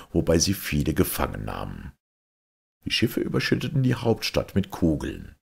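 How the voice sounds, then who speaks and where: A man's voice: low-pitched, gravelly, darkish tone, slightly rough. A man narrating a fairy tale expressively, as an audiobook reader.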